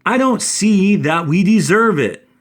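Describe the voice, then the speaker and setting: Man reading as a halting, pompous town leader. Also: high-pitched, animated, voice pushed up. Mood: aggrieved. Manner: halting, formal, faintly pompous. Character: pensive, grumbling male town leader in a storybook.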